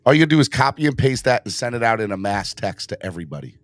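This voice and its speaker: A man hosting a casual live sports fan podcast, urging viewers to subscribe, with his voice just back after being hoarse. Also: no room sound. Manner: animated, jumps into high put-on voices for quick bits. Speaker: podcast host.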